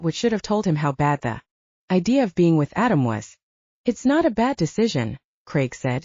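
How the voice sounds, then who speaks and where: A woman's voice: fairly low pitch, a little mellow, no room sound, dull audio. A woman narrating an audiobook, reading expressively and giving each character a voice.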